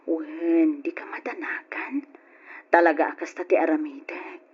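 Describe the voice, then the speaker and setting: A woman's voice: deep voice, unhurried, slightly rough, exaggerated voice. A woman playing a pleading, determined young Filipino wife. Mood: resentful, hurt. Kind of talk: old-fashioned Ilocano radio drama acting. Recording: dull lo-fi old radio drama.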